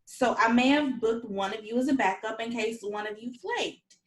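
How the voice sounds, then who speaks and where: A woman's voice: on the high side, moderate pace, echoey room, lightly acted. A woman playing a prim, nervous, self-justifying new mother in a comedy script table read. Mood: defensive.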